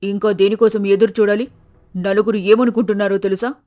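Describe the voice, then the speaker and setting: A man voicing a superstitious, sharp-tongued middle-aged mother who complains, in an animated moral story. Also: high voice, fairly quick, close to an everyday voice. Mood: accusing.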